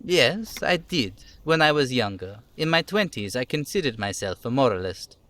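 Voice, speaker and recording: A man voicing a reserved, dutiful police lieutenant from a game, speaking in a measured, formal way. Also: mid-range voice, sing-song, fairly bright tone. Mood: reflective, earnest. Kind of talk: dramatic reading of game dialogue.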